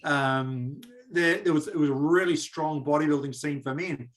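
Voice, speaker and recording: A middle-aged New Zealand man hosts a relaxed podcast, talking in a loose, rambling way with easy self-deprecating jokes. His voice sits a bit higher than most men's. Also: moderate pace. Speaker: podcast host. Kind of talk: casual podcast chat.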